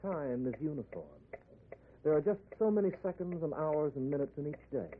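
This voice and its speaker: Portentous, higher-pitched radio narrator played by a man. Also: lively intonation, music in the background, close to an everyday voice. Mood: musing. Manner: portentous, deliberate. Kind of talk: 1940s radio drama introduction. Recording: tinny old radio recording, faint noise.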